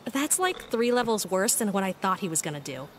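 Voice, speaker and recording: A woman playing a naive, earnest, uneasy teenage high school girl in a visual novel. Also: big pitch swings, brisk, a little hiss or hum, close to an everyday voice. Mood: defensive.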